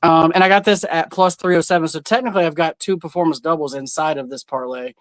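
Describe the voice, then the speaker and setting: A man's voice: on the high side, steady tone, fast, a bit bright, no room sound. A man co-hosting a sports betting podcast, casually walking through his picks with stats. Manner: laid-back, explains picks with stats.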